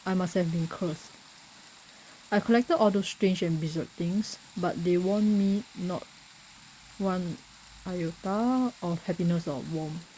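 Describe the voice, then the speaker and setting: Female gamer, lowish dark soft-edged voice, casual read-aloud delivery, faint noise behind. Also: slow, poor audio. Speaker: gamer playing on a recorded session. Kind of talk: casual reading aloud and play commentary.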